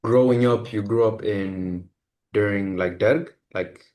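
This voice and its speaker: A man's voice: on the low side, slow pace, a little mellow. A man, speaking as the host of an interview podcast. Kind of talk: podcast hosting, scripted introduction and questions.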